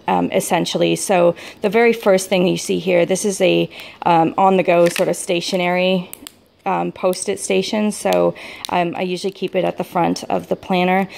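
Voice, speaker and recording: A low-voiced, very croaky American woman you would hear in a hobby video, meandering through her stationery piece by piece. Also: a little mellow. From United States. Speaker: vlogger showing a planner setup. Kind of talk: casual product walk-through.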